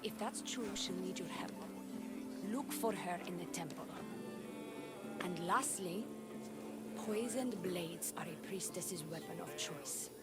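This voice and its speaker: A woman playing a composed, flirtatious priestess in a video game, giving measured instructions. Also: lowish voice. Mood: businesslike. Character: priestess and plotter, younger sister, composed and flirtatious. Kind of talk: scripted video game dialogue. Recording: loud music behind the voice.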